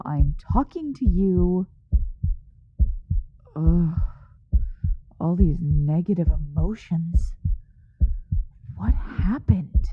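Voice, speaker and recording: A woman's voice: lowish voice, husky, dry recording, narrow, tinny audio, full character voice. A woman playing a snarky tsundere young succubus who secretly cares, teasing and exasperated in an ASMR roleplay. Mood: urgent concern. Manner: teasing, exasperated, sighing, grudgingly soothing.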